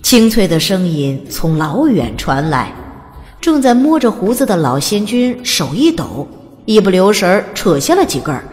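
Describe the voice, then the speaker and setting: A woman's voice: medium pitch, very animated, normal speed, a little mellow, long reverb tail. Woman narrating a storybook in lilting tones. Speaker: audiobook narrator.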